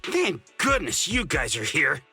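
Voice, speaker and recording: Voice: mid-range voice, wildly swooping pitch, unhurried, vocal fry, sharp tone, husky, faint music behind, heavily acted. An actor playing a panicky, melodramatic and aggrieved victim in an anime-style video game. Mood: aggrieved, relieved. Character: panicky, dramatic victim of an ambush.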